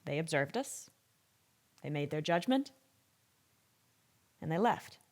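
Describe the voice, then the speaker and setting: An actress playing a thoughtful, troubled expert in an audio drama interview, speaking in a measured, reflective way. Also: female voice, sits low, very animated, exaggerated voice. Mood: grave, reflective.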